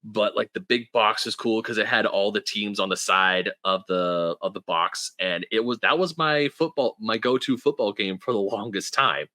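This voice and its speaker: A man's voice: lowish voice, moderate pace, hardly any pauses, pushed and bright, dry recording. A man in his thirties hosting a podcast, chatting casually and rambling with many restarts. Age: 30s. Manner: rambling, full of restarts and fillers. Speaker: podcast host. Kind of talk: casual chat.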